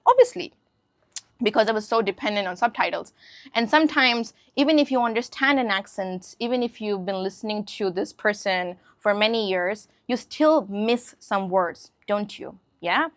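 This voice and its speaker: A woman's voice: medium pitch, animated, moderate pace, no echo. Hindi-speaking woman teaching english, chatty, keeps asking if you follow, dry close sound. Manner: conversational, checks in with listeners often. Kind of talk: casual spoken lesson to camera.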